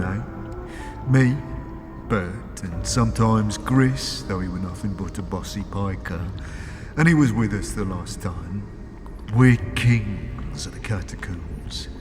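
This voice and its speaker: A man plays a crude grave-robber spirit who rambles in a rough street dialect and cackles at his own bad luck. He drawls slowly in a low voice. The sound rings with a long hall echo, and loud music plays underneath. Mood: cackling. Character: grave-robbing male ghost, chatty and crude. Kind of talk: fan audio drama acting.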